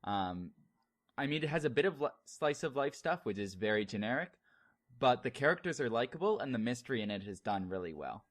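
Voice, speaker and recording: A man's voice: mid-range voice, sing-song, a little fast, dry recording, clean studio sound. A man from Canada giving casual review-style opinions as a guest on a podcast.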